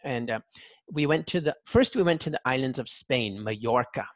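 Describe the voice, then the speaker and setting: A man's voice: mid-range voice, lively intonation, normal speed, no room sound. A man interviewing someone in a casual, anecdotal way, with an enthusiastic, folksy and informal manner.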